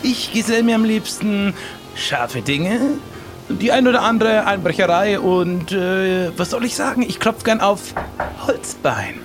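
A man plays a gruff and cheeky pirate in an adventure audio play. He talks slowly and tersely with big swings in pitch, over some music. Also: expressive. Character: gruff, cheeky, manly pirate after hot chilis.

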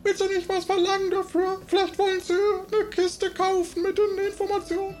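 A man's voice: very high voice, monotone, moderate pace, voice pushed up. A man voicing a greedy, complaining undertaker's brother in an improvised role-play comedy. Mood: pushy. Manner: complaining, pushy. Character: shrill, greedy undertaker's brother pushing coffin sales. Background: music under the voice.

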